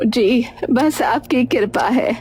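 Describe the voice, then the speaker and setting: A woman's voice: a little high, slow pace, darkish tone, slight background noise. A woman playing a poor, meek old village widow in a cartoon story, hesitant and pleading. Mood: humble, wary. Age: older. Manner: hesitant, pleading, stammers when upset. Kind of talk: Hindi cartoon story acting.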